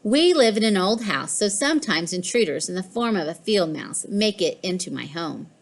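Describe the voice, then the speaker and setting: A woman's voice: medium pitch, lively intonation, normal speed, creaky. A woman voicing a smug, mischievous pet cat in a storybook, with a boastful, emphatic delivery. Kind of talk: storybook read-aloud.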